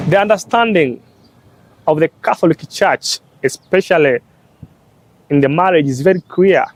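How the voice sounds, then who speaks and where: A young religious brother and law student from Tanzania, explaining church teaching on marriage in short, halting phrases with many pauses and fillers. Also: male voice, on the high side, no room sound. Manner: slow, halting delivery in short phrases with frequent "uh".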